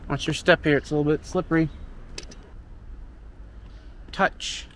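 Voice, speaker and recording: A man's voice: on the high side, measured pace, a little hiss or hum. A young man narrating a casual hiking vlog outdoors, chatty and joking, filmed on a camera at arm's length. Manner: chatty, joking, relaxed commentary. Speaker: travel vlogger. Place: outdoors on a forest trail. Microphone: action camera at arm's length.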